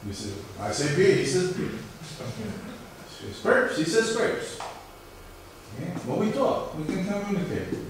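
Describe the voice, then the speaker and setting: A man's voice: sits high, sing-song, takes it slowly, pauses often. A middle-aged male professor with a New York area American accent, giving a conversational university lecture and quizzing his class. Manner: conversational, questions the class and answers himself. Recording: very echoey room, mic far off, faint hiss.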